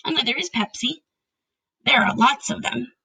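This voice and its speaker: Woman doing a storybook girl, polite, patient, inquisitive, fairly high, husky. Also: moderate pace, pushed and bright, full character voice. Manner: polite, questioning.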